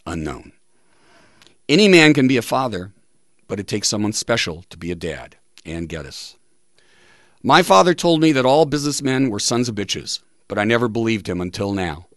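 Guy hosting a radio show, kinda deep voice, reads stuff out with pauses for emphasis, dry studio sound. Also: very animated, no room sound. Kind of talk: reading listener tributes and quotes on a talk show.

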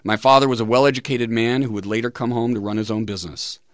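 A man hosting a radio talk show, reading aloud with pauses for emphasis in a studio, close to the microphone. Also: medium pitch, fairly even intonation, no room sound. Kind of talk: reading listener tributes and quotes on a talk show.

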